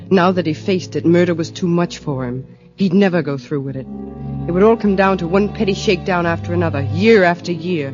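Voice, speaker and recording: An actress playing a cool, calculating wife who plots murder, measured and coolly persuasive, in 1940s radio suspense style. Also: female voice, fairly low pitch, close to an everyday voice. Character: cool, calculating wife secretly plotting her husband's murder. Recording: dull vintage radio recording with music.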